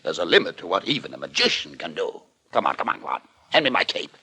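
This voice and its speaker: Male, radio drama: mocking, callous magician, forceful and hugely animated, grandly condescending. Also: sits low, fast talker, slightly rough, exaggerated voice. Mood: scornful, amused. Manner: theatrical, condescending. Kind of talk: 1940s radio acting.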